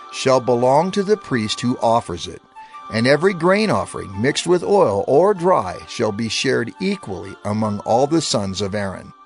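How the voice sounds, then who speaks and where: A man narrating scripture from a script in a formal, measured reading manner. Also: medium pitch, creaky.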